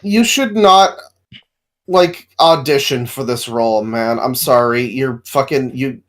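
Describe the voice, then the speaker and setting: A man's voice: medium pitch, slow, fairly bright tone. A man giving blunt, profane opinions in casual podcast banter. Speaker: podcast panelist.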